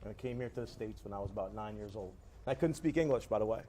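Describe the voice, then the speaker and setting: A man from Ghana, a guest on a community talk show, speaking eagerly and warmly to a young audience. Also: fairly low pitch, quick pace, slight background noise.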